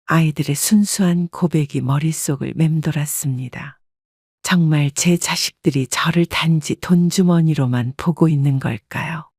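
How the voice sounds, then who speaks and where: A woman narrating a first-person family story in a calm, measured manner. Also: low voice, no room sound, studio quality.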